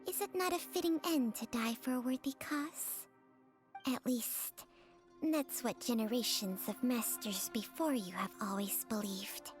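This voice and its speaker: A woman plays an old, wise serpent from a game. She speaks slowly in a high female voice, formal, detached and philosophical. Faint music plays underneath. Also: close to an everyday voice. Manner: slow, solemn, formal. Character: ancient talking snake, female, detached and philosophical. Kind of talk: dramatic video game voice acting.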